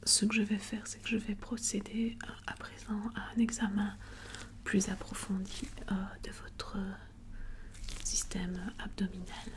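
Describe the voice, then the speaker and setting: Woman playing a whispering doctor, calm and attentive. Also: mid-range voice, measured pace, close to an everyday voice. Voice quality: breathy whisper. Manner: gentle, methodical, polite and formal. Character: calm, attentive female doctor examining a patient. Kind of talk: ASMR medical roleplay.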